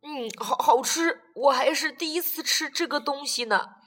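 A woman's voice: high-pitched, normal speed, a bit bright. A woman voicing a big male T. rex bully in a children's audio story, cackling when taunting and stammering when unsure. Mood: timid. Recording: reverberant room with music underneath.

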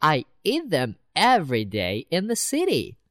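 A woman voicing a boastful, worldly city mouse in a children's storybook reading, with a slow, bouncy delivery. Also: huge pitch swings, no room sound, studio quality, exaggerated voice. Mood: scornful. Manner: slow, bouncy storybook voice with big pitch swings.

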